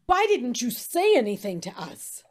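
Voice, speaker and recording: An actress playing an urgent factory engineer in old radio drama style, clipped and excitable. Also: female voice, on the high side, moderate pace. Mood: questioning.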